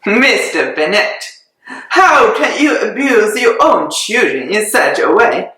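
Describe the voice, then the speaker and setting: A reader raises their voice to play an excitable middle-aged mother, fussy and fretful, pleading over and over in a storybook reading. The tone is bright and the pace slow, and the room rings with heavy echo. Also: medium pitch, exaggerated voice. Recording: very echoey room.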